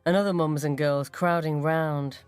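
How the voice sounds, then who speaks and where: A woman narrating a first-person radio story in a wry, sardonic, expressive way. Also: low-pitched, moderate pace, faint music behind. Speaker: short story reader.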